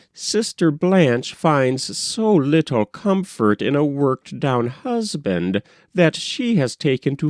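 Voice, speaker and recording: A man's voice: a little high, darkish tone, no room sound, studio quality, voice pushed up. A man voicing an independent, spirited unmarried woman of thirty in a storybook, pointed and self-assured.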